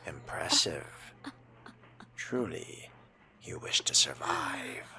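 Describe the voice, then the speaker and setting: A man's voice: extremely low pitch, animated, very slow, vocal fry, bright, forceful tone, in a whisper. A man role-playing through a game in character, reading and commenting in a formal, arch, often murmured way. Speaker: game player narrating in character.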